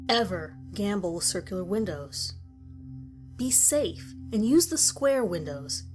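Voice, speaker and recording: A woman's voice: mid-range voice, a little mellow, close to an everyday voice. Woman acting a grim, ominous horror survivor. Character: grim woman survivor narrating deadly rules of a monster house. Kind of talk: scripted horror story narration. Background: music.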